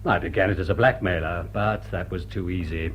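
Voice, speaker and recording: An actor playing a suave, world-weary male gentleman crook, urbane and dryly courteous, in a vintage drama. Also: low voice, fairly quick, muffled recording. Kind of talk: vintage drawing-room drama acting. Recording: noisy, humming old soundtrack.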